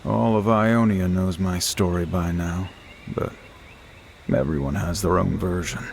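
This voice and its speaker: A man's voice: low-pitched, fairly even intonation, unhurried, creaky, slight background noise. An actor playing a guilt-ridden swordsman on the run in a video game, speaking in a terse, grave and weary way. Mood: regretful. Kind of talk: dramatic video game acting. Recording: game music behind the voice. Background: game music.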